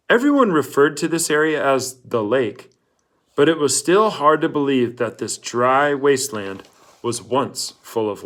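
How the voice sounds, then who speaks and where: A man narrating a children's novel in a read-aloud storytelling style. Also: mid-range voice.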